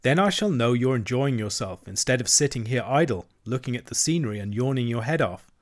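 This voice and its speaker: A man's voice: mid-range voice, animated, a little fast, natural voice. A man voicing a hearty, shrewd middle-aged barge woman who speaks politely with a sly edge.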